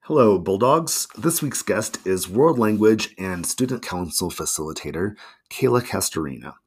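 A man hosting a school community interview podcast as an assistant principal, with a measured, upbeat delivery. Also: lowish voice, expressive, breathy edge. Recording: music bed under the voice. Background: background music.

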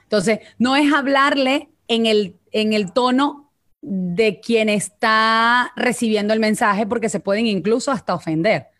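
A woman's voice: a little high, measured pace, a bit bright. A woman vocal coach with a Venezuelan accent, explaining voice technique in a casual live chat and often checking in with "ok?".

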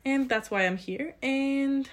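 A woman's voice: high-pitched, slow. A woman of about twenty with a Polish accent, answering interview questions in an earnest, explanatory, conversational way. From Poland. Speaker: interview guest, student committee chair.

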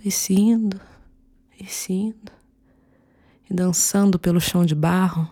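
An ominous Brazilian female spirit from the edge of the world in a radio serial. The voice is low, breathy and dark, unfolding slowly and mysteriously. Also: steady tone. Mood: ominous, hushed. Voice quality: husky, breathy. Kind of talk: radio drama storytelling.

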